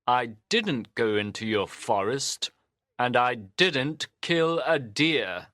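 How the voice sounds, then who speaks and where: A man's voice: mid-range voice, animated, takes it slowly, a bit bright, dry recording, studio quality. A man voicing a brave, kind village father who speaks up defiantly, as an audiobook reading. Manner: defiant, firm.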